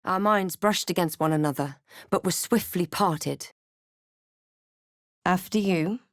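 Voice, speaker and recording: A woman voicing a wry, observant adventurer heroine in a video game, speaking scripted lines and narration in a measured, understated way. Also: on the low side, dry recording, clean studio sound. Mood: calm, reflective. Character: female adventurer hero, wry, observant, quietly guarded. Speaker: video game player character.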